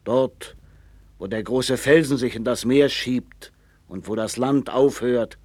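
An actor playing a proud, knowing seafarer in a 1960s German radio play, with a musing storyteller's manner. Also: male voice, mid-range voice, a little mellow, husky, no room sound. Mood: proud, boastful. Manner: slow, musing, storytelling, with swings from boastful to confiding.

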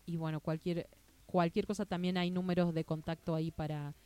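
Radio host, woman, Argentine Spanish accent, low smooth voice, halting casual delivery. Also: flat delivery, dark tone. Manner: hesitant, many fillers and restarts. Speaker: radio news show host. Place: radio studio.

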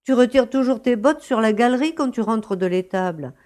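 A woman playing a kindly, homely, gently teasing farm grandmother in an audio drama. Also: fairly high pitch, animated, moderate pace, natural voice. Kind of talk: French-language audio drama acting.

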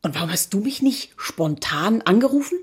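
An actress as a sardonic, watchful city woman in an audio drama. Her voice is husky at a medium pitch. She speaks naturally, with skeptical, dry irony. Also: expressive, normal speed. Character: wary, sardonic city woman, live-in girlfriend of a writer.

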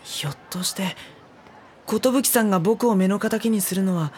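An actress playing a mild, polite teenage schoolboy who also narrates in a drama CD. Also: female voice, medium pitch, moderate pace, darkish tone, a little hiss or hum, close to an everyday voice. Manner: polite, flustered. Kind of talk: first-person narration and dialogue.

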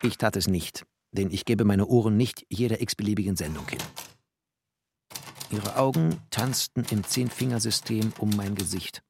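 A man's voice: fairly low pitch, moderate pace, vocal fry, darkish tone, slight background noise. An actor playing a bookish, wry, tender poor writer who narrates his life in clipped, poetic phrases in a radio play. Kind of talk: literary first-person radio play narration.

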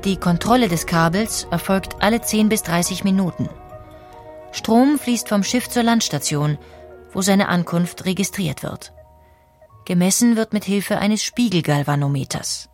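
A woman reading scripted documentary narration in an explanatory, measured way. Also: medium pitch, a little mellow, faint music behind.

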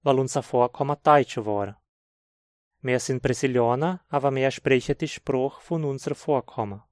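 A man from southern Brazil with a Hunsrik German accent, reading a scripted textbook dialogue in a slow, clear teaching manner. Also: mid-range voice, a little mellow, no echo, clean studio sound. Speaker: language course reader.

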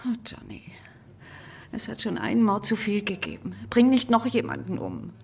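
Radio drama: a worried soother, husky. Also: female voice, mid-range voice, huge pitch swings, moderate pace, exaggerated voice. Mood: pleading. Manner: soft, pleading, soothing. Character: anxious woman pleading with a violent man. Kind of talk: radio play acting.

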